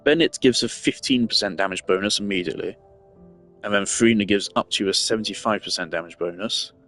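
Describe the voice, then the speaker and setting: A man's voice: lowish voice, brisk, fairly bright tone, faint music behind. A man giving casual, analytical gaming commentary, thinking aloud in a rambling way as a streamer.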